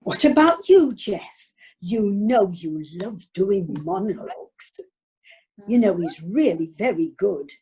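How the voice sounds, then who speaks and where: A woman with a husky voice playing a proud, coaxing wife in an amateur radio drama. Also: medium pitch, dark tone, exaggerated voice. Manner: coaxing, encouraging.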